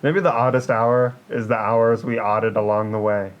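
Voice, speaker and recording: A man co-hosting a podcast, bantering casually in an animated, theatrical, mock-earnest way. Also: medium pitch, normal speed, dull audio. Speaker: podcast co-host.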